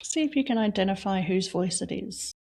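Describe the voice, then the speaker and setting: A woman leading a guided reflection exercise, asking slow, soft questions with long pauses between them. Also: mid-range voice, animated. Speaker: meditation guide.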